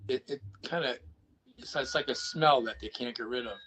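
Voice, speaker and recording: A man's voice: medium pitch, normal speed, sharp tone, slight background noise, rough recording. A man on a video call chatting casually about paranormal investigation, rambling with lots of restarts and repeated words.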